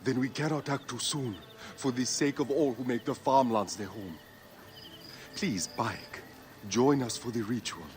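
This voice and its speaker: A man's voice: mid-range voice, measured pace, soft background music, close to an everyday voice. An actor playing a devout male sun-cult leader who chants hymns and speaks with solemn fervor in a video game. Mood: pleading. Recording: game audio with loud score.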